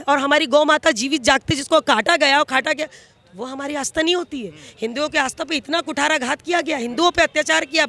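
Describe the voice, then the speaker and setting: A woman speaks in a very high, piercing voice with a forceful edge. She argues passionately, pressing her points insistently as a member of the public in a heated debate. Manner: impassioned, argumentative, insistent.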